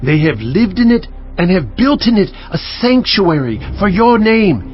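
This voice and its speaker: Devout, pleading king, higher-pitched. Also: big pitch swings, a little slow, lo-fi sound, faint music behind. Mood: fervent pleading. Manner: earnest, declamatory oratory. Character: pleading, devout king of Judah praying before his people. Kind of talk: dramatized audiobook acting.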